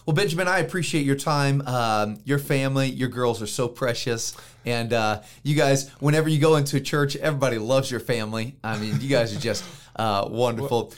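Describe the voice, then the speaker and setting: A man hosts a casual church interview in a friendly way, answering each reply with a quick affirmation. His voice sits at an ordinary middle pitch for a man. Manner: friendly, frequent "amen" affirmations. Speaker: interview host.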